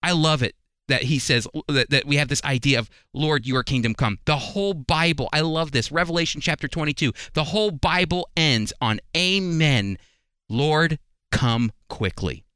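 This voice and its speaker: A man's voice: a little high, moderate pace, bright, forceful tone, clear, resonant tone, no echo, clean studio sound. A man hosting a religious radio program, teaching the Bible in a conversational, earnest, explanatory way with emphasis.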